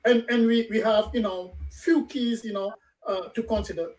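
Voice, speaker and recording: A man hosting a talk radio program, speaking haltingly with many fillers and repeats. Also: high voice, steady tone, darkish tone. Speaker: radio show host. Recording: distant from the mic.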